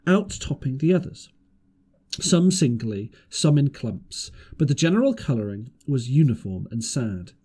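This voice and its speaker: A man's voice: medium pitch, mellow, slightly rough. A man reading an adventure novel aloud as an amateur audiobook narrator, occasionally stumbling over words.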